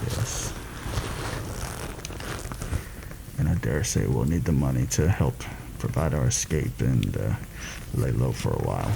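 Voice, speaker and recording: A man playing a shrewd, secretive mercenary planner in a soft-spoken ASMR fantasy roleplay, confiding and deliberate in a slightly formal way. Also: expressive, background noise, exaggerated voice. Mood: confiding, assured. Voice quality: low, gravelly, soft-spoken. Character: shrewd, secretive male mercenary plotting a royal assassination.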